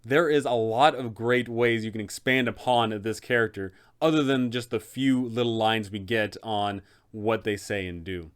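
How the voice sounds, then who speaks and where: A man's voice: fairly low pitch, steady tone, moderate pace, few pauses, smooth. A man hosting an explanatory guide for game masters, addressing the viewer directly and posing rhetorical questions. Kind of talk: explanatory video narration with advice for game masters.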